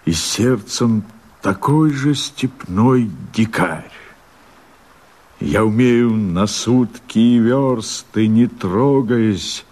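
A wild-hearted peasant rebel of the steppe in a radio play. His voice sits very low and rough with huskiness. He declaims verse with great slowness and weight. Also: exaggerated voice. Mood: lyrical, confiding. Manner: slow, weighty, poetic declamation. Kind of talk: theatrical radio drama verse.

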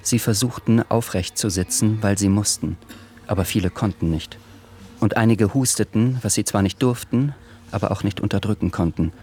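A man narrating a radio drama in a calm, measured way. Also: fairly low pitch, soft background music.

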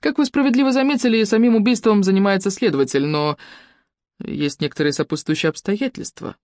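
A reader voicing a polite, ironic young male investigator who speaks softly with exaggerated courtesy. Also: high-pitched, brisk, no echo, clean studio sound, pitched above the performer's own voice. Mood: courteous.

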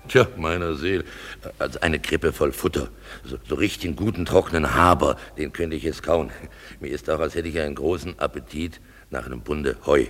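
Donkey-headed simpleton, extremely low voice, husky, pompous drawl, lazy and greedy, radio play. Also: male voice, moderate pace, a bit bright. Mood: greedy, dreamy. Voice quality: gravelly, husky, very deep. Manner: drawling, self-satisfied, chuckling, muttering with repeated "ja". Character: weaver turned donkey-headed fool, smug, cosy, sleepy, greedy. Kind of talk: classic radio play comedy acting.

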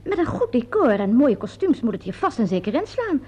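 An actress in a Dutch radio play as an effusive, dramatic theatre society woman with a husky, breathy voice. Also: on the high side, big pitch swings, fairly quick, darkish tone, dull audio. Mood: gushing, playful. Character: gushing society theatre woman with eerie mediumistic turns.

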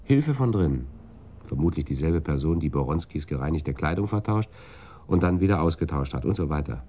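An actor playing a brusque, cynical police detective in a German radio crime drama, with a husky voice and terse delivery. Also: male voice, extremely low pitch, lively intonation, fairly quick, mellow, heavily acted. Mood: brisk, cynical.

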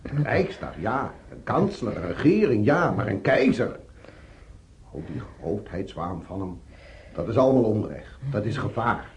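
A scornful socialist worker in a radio drama. His fairly low voice leaps widely in pitch as he argues in short, emphatic bursts. Faint noise sits behind him. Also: very animated, unhurried. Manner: emphatic, argumentative, short clipped phrases. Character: scornful socialist working man, hotly political.

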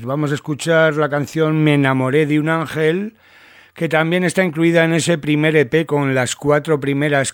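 A man's voice: on the high side, clear, resonant tone, dry recording, studio quality. A man with an accent from Spain presenting a music radio show in a casual way. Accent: Spanish from Spain.

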